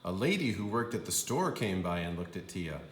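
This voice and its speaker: A man reading a storybook aloud to young children as a kindergarten teacher, deliberate and emphatic. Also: deep voice, very animated, reverberant.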